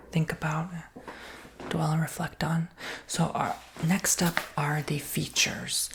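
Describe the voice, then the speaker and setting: A woman whispering in a breathy voice with a creaky undertone, giving casual, reassuring sales patter as an ASMR roleplay. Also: low voice, a little hiss or hum.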